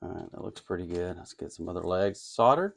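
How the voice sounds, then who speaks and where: A man with a fairly low voice and a strongly creaky, gravelly edge talks through a hands-on task. His delivery is casual and unscripted, as if thinking aloud. He muses and hesitates as he goes. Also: expressive, fairly quick. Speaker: host of an electronics kit-building channel. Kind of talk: casual, unscripted workbench narration.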